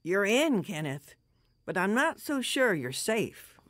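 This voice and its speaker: A woman playing a loving but stern elderly grandmother in a radio drama, earnest and gently admonishing. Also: medium pitch, sing-song, takes it slowly, no echo, studio quality, heavily acted. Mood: concerned, reproachful.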